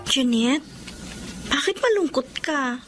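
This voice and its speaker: A woman's voice: high-pitched, lively intonation, slow pace, music in the background. A woman with a Filipino accent playing a frank, prodding friend in a radio drama, with a coaxing manner. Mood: concerned, knowing.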